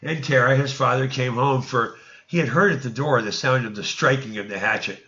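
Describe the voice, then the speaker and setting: A man's voice: mid-range voice, a little fast, pushed and bright, echoey room. A man reading scripture aloud as theatrical narration, now and then stumbling over words, in a lo-fi recording. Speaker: reader. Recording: dull, lo-fi sound.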